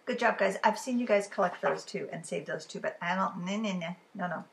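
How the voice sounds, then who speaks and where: A woman in her fifties reading riddle poems aloud for a children's storytime, expressive and deliberate, with chatty asides to the listeners. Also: lowish voice. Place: living room at home, indoors. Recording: clearly noisy room recording.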